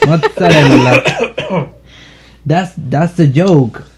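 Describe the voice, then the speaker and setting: Slow-talking man hosting a casual podcast. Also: fairly high pitch, background noise. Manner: teasing, laughs often, drawn-out delivery. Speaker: podcast host. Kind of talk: casual joking chat among friends.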